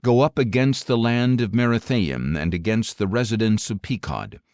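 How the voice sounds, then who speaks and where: A man narrating scripture as an audiobook in a solemn, dramatic style, measured and emphatic, with phrases that fall away at the end. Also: lowish voice, darkish tone, no echo, studio quality.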